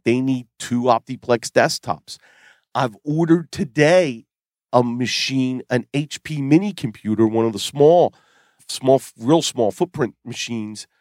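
A middle-aged man hosts a tech podcast while he fights a cold, his voice worn down. He rambles in a chatty way, with fillers and false starts. The sound is dry and close, with no room echo. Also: mid-range voice. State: sick with a cold, losing his voice. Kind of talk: casual solo podcast talk.

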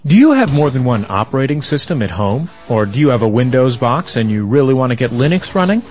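A man's voice: medium pitch, very animated, fast talker. A man reading an upbeat, salesy scripted promo for a tech podcast. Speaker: promo announcer. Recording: dull lo-fi audio with guitar music behind.

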